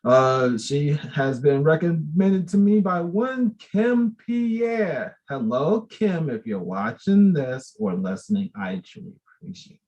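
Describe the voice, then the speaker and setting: A man hosts an interview show with an effusive, chatty manner, his pitch swinging widely. He talks at an unhurried, drawn-out pace. The sound is a little dull and lo-fi. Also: sing-song, darkish tone. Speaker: host. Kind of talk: casual interview hosting.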